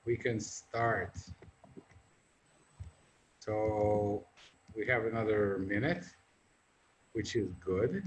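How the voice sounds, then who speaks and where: A man's voice: fairly low pitch, extremely slow, long pauses, low-quality recording. A man hosting a casual video call interview, informal and joking with his guest. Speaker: host.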